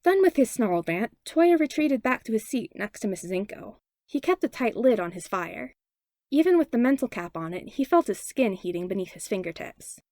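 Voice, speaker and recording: A woman narrates a story expressively, her pitch moving around a lot. There is noticeable fry in her voice, and the sound is dry and close. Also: big pitch swings, normal speed, a little mellow, dry recording. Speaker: podfic narrator.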